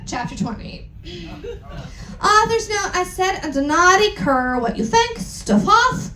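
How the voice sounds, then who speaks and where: High, theatrical, very animated woman in echoey room. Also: measured pace. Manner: theatrical, exaggerated shouting of dialogue with wry asides. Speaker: performer reading fan fiction aloud. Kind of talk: comedic dramatic reading to a live audience. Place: live event room aboard a cruise ship.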